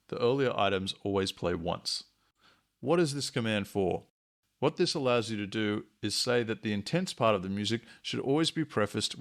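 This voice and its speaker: A man narrating a software tutorial in a measured, instructional way. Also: lowish voice. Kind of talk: explanatory screencast tutorial narration.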